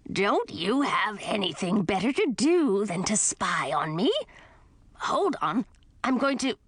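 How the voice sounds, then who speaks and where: A woman voicing a cranky, rude witch aunt with a raspy, lizardy voice in a storybook reading. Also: medium pitch, very animated, a little slow, no room sound, clean studio sound. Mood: irritated. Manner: barking, grumbling, dismissive.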